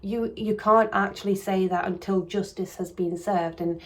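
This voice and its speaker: A woman with a croaky voice coming on, casually commenting on a true crime case in a rambling, hedging way with frequent restarts. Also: medium pitch, fairly even intonation, moderate pace.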